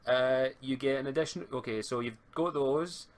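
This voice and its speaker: A man's voice: medium pitch, normal speed. A man acting as game master, explaining tabletop game rules over an online call, often stammering and restarting his words.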